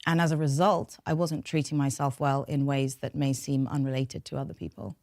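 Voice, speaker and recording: A woman's voice: rumbling low, brisk, dry recording, studio quality. A woman with a London accent whose first language was Farsi, speaking as an interview guest in a frank, reflective way. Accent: London English. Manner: reflective, frank, steady flow of long sentences. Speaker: podcast guest, behaviour change scientist. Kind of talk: candid interview storytelling.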